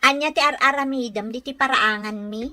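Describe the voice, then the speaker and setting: Audio drama role: proud village housewife played by a woman, Ilocano accent, high, bright, sharp-tongued and brisk. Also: no echo. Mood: irritated, scolding. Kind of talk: Filipino audio drama dialogue.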